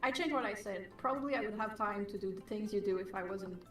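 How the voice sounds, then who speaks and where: A woman artist whose first language is not English, chatting and teasing in a relaxed way on a stream. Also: mid-range voice, quick pace, reverberant, distant mic, faint music behind. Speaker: artist, co-host. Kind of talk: casual chat on a livestream.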